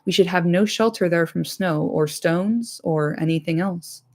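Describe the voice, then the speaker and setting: A woman plays a grave old male wizard from a fantasy storybook. The voice sits fairly low with a marked creak, firm and commanding as it counsels companions. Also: a little slow, darkish tone.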